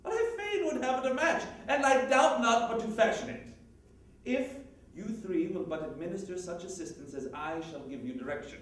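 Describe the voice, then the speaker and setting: A man's voice: sits high, lively intonation, moderate pace, voice pushed up. A man playing a jovial, scheming nobleman in a playful, declamatory Shakespearean monologue. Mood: playful, exuberant. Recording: very echoey room, distant mic.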